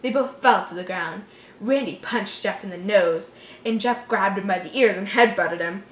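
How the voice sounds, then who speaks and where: A woman's voice: mid-range voice, normal speed, reverberant, slight background noise, low-quality recording. A woman reading a horror story aloud casually, giggly and reactive, breaking off to joke and comment.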